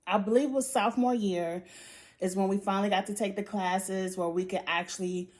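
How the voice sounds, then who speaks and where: A woman with a high-pitched voice giving a casual, outspoken recap of a reality show, with sighs and laughs between points. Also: steady tone, a little fast, a little mellow. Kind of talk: casual opinionated chat.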